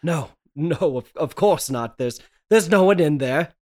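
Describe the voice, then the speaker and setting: A man playing a nervous, secretive young husband in a table read of an old radio horror play, halting, repetitive and defensive. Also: a little high, animated, darkish tone. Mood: nervous, evasive.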